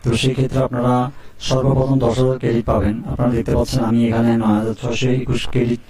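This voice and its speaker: A man hosting a step-by-step software tutorial. He keeps checking in with the viewer as he explains each click. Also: mid-range voice, racing, reverberant, far from the mic, a crowd in the background. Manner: steady instructional, frequent "ঠিক আছে?" check-ins. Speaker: tutorial host. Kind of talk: screen-recorded software tutorial.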